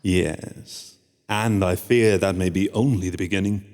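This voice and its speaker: A man playing a scheming, oily male pony courtier in a fan-made radio drama, speaking in a smooth, fawning and sly way. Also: deep voice, steady tone, measured pace, darkish tone. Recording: long hall reverb.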